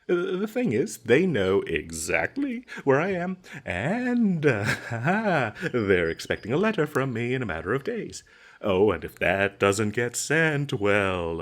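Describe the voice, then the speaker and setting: Nervous, stammering smooth-talking male adventurer, acted by a man. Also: mid-range voice, exaggerated voice. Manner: halting, stammering, polite, nervous chuckles. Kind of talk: comedic fantasy audio roleplay acting.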